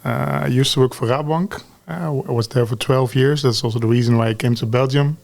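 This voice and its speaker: A Dutch man in his forties with a Dutch accent, a guest on a podcast, talking in a relaxed way and ending sentences with "huh?" and "eh?". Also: mid-range voice, a little fast, creaky. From Netherlands. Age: 40s. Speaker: guest, talent lead. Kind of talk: podcast conversation.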